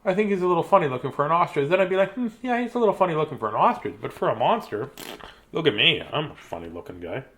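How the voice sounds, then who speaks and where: Animated man reading bedtime stories, highish voice. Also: very fast, a little hiss or hum. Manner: playful, childlike, chatty. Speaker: children's storyteller. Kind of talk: animated bedtime picture-book reading with casual asides. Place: small decorated room indoors.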